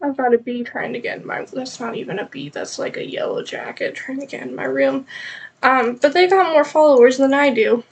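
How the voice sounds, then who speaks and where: A woman's voice: sits high, brisk, vocal fry, husky. A woman with a stuffed-up nose chatting casually and rambling on a live knitting stream, with many ums.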